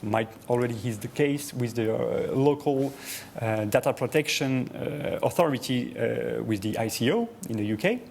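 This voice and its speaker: A man's voice: mid-range voice, lively intonation, normal speed, gravelly, darkish tone, slight background noise. A man from France with a French accent, presenting to an audience, talking with frequent hesitations, fillers and restarts. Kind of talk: presentation talk.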